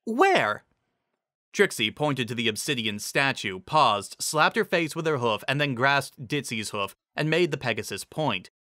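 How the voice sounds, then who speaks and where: A man's voice: mid-range voice, expressive, moderate pace, few pauses, sharp tone, dry recording, studio quality. A man giving a dramatic scripted narration of a story.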